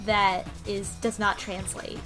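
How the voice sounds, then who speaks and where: A woman talking casually on a discussion panel, speculating in loose, animated runs peppered with "like". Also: medium pitch, takes it slowly. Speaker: book club podcast panelist. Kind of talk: casual discussion of a video game story. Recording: music playing under the talk. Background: background music.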